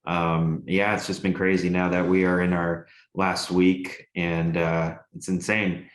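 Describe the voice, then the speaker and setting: Deep-voiced young man, rambling sports chat. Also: flat delivery, measured pace, echoey room. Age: young adult. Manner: rambling with many fillers, enthusiastic. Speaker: sports show co-host and radio broadcaster.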